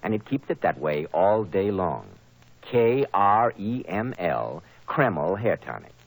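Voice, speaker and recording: Old time radio announcer guy, very animated pitch, polished salesman delivery, vintage recording. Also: takes it slowly, low-quality recording.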